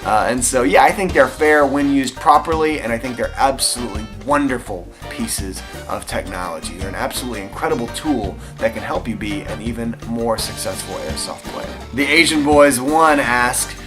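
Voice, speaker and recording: A young man with a Texan drawl talks through viewer questions in a relaxed, enthusiastic vlog style. His voice sits at an ordinary middle pitch and is dotted with easy fillers. Faint music plays behind him. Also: moderate pace, few pauses. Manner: enthusiastic, chatty, frequent fillers. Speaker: airsoft channel host answering viewer questions. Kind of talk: casual Q&A vlog.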